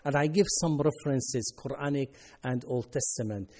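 A man from Syria, a native Arabic speaker, giving an earnest personal testimony in halting non-native English. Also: mid-range voice, a little mellow. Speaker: ministry worker.

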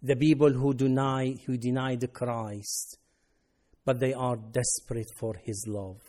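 A man from Syria, a native Arabic speaker, giving an earnest personal testimony in halting non-native English. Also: mid-range voice, level tone, dark tone. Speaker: ministry worker.

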